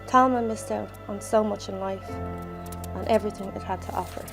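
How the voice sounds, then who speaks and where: A middle-aged woman with a Dublin accent reads a prepared tribute. Her pitch stays fairly flat and her delivery is measured and solemn, with music behind her. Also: creaky. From Ireland. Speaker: bereaved sister giving a tribute. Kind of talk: reading a prepared memorial statement.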